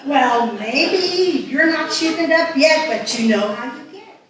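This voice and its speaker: A woman playing a sharp-tongued, sarcastic elderly wife who nags fondly, at a live table read. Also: sits high, lively intonation, measured pace. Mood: scolding, teasing. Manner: salty, dry teasing. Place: live festival stage reading. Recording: echoey hall, distant microphone.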